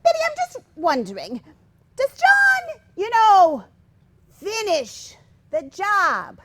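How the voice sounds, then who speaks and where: A woman's voice: extremely high pitch, huge pitch swings, slow pace, fairly bright tone, exaggerated voice. A woman acting a prim, fussy, hesitant older mother in a staged comedy reading. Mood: hesitant, probing. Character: prim, easily scandalised older mother, self-effacing and nosy.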